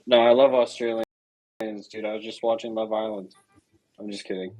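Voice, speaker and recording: A man hosting a casual online voice space, relaxed and joking, with frequent "uh" fillers. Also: lowish voice, brisk, no room sound, rough recording. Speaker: podcast host.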